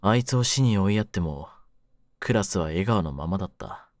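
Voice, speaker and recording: Man acting low-voiced cynical young mafia kidnapper. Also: lively intonation, moderate pace, dry recording, clean studio sound, faint music behind. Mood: grieving, bitter. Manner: rough masculine slang, taunting, brooding delivery. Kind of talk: Japanese situation-voice audio drama, ASMR.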